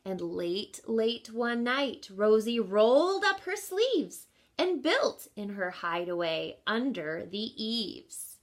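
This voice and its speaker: A woman reads a storybook to children like an encouraging teacher. Her voice is fairly high and swings widely in pitch. She takes her time, leaning deliberately on key words and speaking directly to her young listeners. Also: big pitch swings. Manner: encouraging, addresses children with questions and explanations. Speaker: reading teacher reading a picture book aloud. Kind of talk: expressive children's read-aloud with teaching asides.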